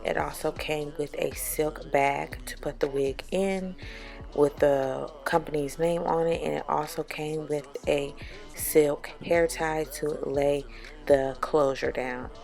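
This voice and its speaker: Young woman vlogger explaining step by step, raspy from being sick, low gravelly voice. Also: slow, faint music behind. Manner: steady explaining, step-by-step with fillers. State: raspy from recovering illness. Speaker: beauty vlogger. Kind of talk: wig review tutorial.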